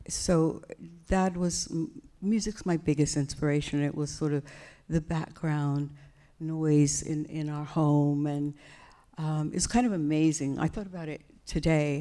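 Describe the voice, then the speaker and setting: A woman's voice: low voice, steady tone, mellow. A woman, speaking reflectively and unhurriedly as the guest in a live onstage conversation in an event hall. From United States. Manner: reflective, unhurried, with many fillers. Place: event hall before an audience.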